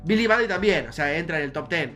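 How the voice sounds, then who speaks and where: A man's voice: a little high, expressive, quick pace, sharp tone, faint music behind. A young man with an Argentine accent chatting casually as a podcast co-host, slangy and prone to repeating his phrases. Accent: Argentine Spanish. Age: young adult. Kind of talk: casual chat.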